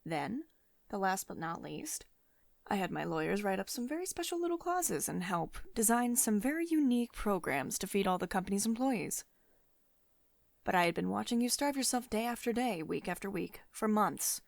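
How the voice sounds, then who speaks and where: An intimate ASMR roleplay of a woman playing a powerful female tech boss, protective and devoted beneath her authority. Her voice sits at an ordinary female pitch and is recorded very dry, with no room around it. She speaks earnestly and with control, as if to one cherished employee. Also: very animated, normal speed, vocal fry, dry recording. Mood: earnest, confiding. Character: commanding, protective female tech CEO, secretly devoted and tender. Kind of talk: ASMR girlfriend roleplay, intimate acted monologue.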